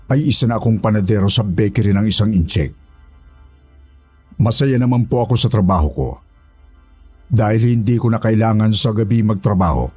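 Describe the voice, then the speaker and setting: A man's voice: lowish voice, dark tone, breathy edge, dry recording. A man playing a humble former houseboy, narrating a horror story in the first person with an earnest, polite manner.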